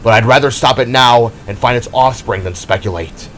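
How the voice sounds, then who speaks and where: A man's voice: mid-range voice, moderate pace, a bit bright, slight background noise, close to an everyday voice. A man voicing a stern, knowledgeable older brother, a glowing energy warrior in an audio drama, speaking in a grave, explanatory way. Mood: serious.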